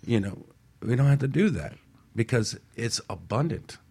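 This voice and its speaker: A man's voice: medium pitch, animated, normal speed, vocal fry, a little mellow, slightly rough. A man talking casually and earnestly in a motivational radio discussion, often checking in with "right?". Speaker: radio talk show panelist.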